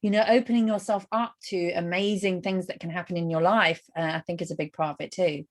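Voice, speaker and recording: A woman's voice: on the low side, fairly even intonation, a little fast. A woman hosting a casual interview, encouraging her guest and repeating words for emphasis. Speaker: webinar host, interviewer.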